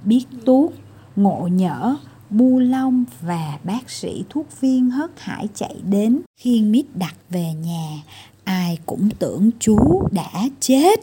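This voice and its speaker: A woman storyteller giving an expressive scripted narration of a children's story. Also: mid-range voice, takes it slowly, a little hiss or hum.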